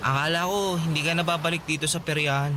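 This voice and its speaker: A man's voice: on the high side, fairly even intonation, quick pace, background noise, close to an everyday voice. A man playing an eager, lovestruck young fairground boy in a Filipino radio drama, earnest, hesitant and pleading. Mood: shy.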